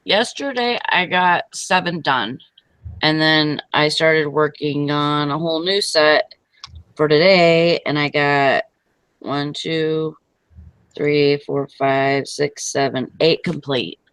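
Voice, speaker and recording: A woman's voice: low-pitched, takes it slowly, a bit bright, clean tone, no echo. A woman in her forties hosting a casual online hangout, chatty and giggly, often trailing off mid-thought, heard over a video call. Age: 40s. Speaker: host of an online hangout show. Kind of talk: casual morning chat.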